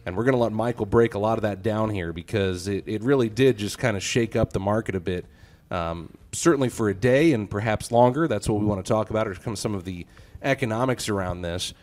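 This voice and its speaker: A man with a hoarse voice, hosting a casual farm podcast and joking with his guests. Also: fairly low pitch, fairly quick, darkish tone. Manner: joking, loose, self-deprecating. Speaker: podcast host.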